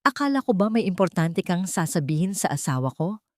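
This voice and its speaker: A woman's voice: lowish voice, sing-song, brisk, dry recording. Villainess for an audio drama, voiced by a woman narrator: cold rich wife, playful menace, lilting taunts, dry sound. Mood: taunting, cold.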